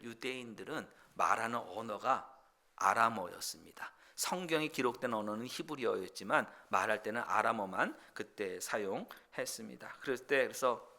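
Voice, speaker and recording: A man preaching a Sunday sermon as pastor, earnest, shifting between loud pleading passages and quiet explanation. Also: mid-range voice. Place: church sanctuary.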